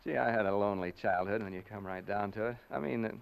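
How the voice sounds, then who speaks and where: An actor playing a frightened American broadcaster unraveling in a haunted house, rambling and stammering in 1940s radio suspense style. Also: male voice, on the low side, fast talker, vocal fry, clear, resonant tone. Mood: giddy unease. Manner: rambling, stammering, nervous laughter. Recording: dull, lo-fi vintage radio recording.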